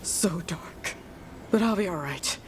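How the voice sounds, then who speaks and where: Game bit part: haunted weary survivor, shaken, slightly low voice, audible ambient noise. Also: female voice, animated, slow. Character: frightened, weary female survivor, fragile but resilient.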